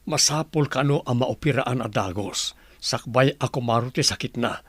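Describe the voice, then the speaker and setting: A man's voice: mid-range voice, normal speed, a bit bright, husky, dry recording. A man playing a courteous, devoted and worried middle-aged husband in an Ilocano radio drama. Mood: sorrowful, worried.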